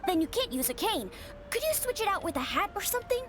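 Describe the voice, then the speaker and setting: A woman's voice: very high-pitched, sing-song, fast, noisy background. A woman voicing an eager, curious small child in an anime-style video game.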